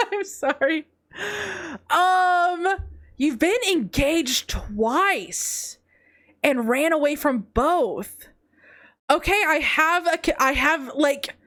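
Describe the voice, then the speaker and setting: Woman streamer, high and very animated, unhurried delivery, playful and teasing, slightly congested. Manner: playful, teasing, laughs often, swings between high squeals and low mutters. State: congested, runny nose. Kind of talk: casual live-stream chat reading confessions.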